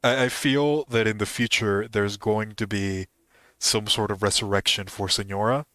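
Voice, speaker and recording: Guy streaming a game, kinda low voice, very dry mic, musing casually as he goes. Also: steady tone, moderate pace, bright, forceful tone, no echo. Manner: musing, speculative.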